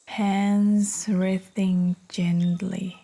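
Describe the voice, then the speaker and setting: A woman narrating a guided meditation in a soft, soothing, breathy manner, leaving long pauses between short phrases. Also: mid-range voice, little pitch movement. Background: birdsong.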